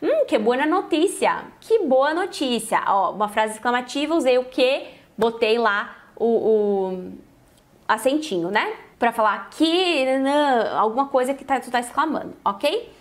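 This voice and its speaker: A woman's voice: a little high, lively intonation, unhurried. A Brazilian woman, a native Portuguese speaker, teaching Spanish in an informal, conversational lesson style with frequent check-in tags. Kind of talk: informal language lesson, explaining and translating example phrases.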